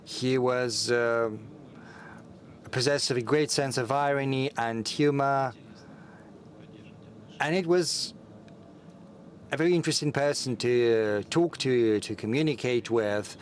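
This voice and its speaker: A man giving simultaneous interpretation at a press meeting, steady and formal, with frequent filler sounds. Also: medium pitch, takes it slowly, long pauses, a little hiss or hum.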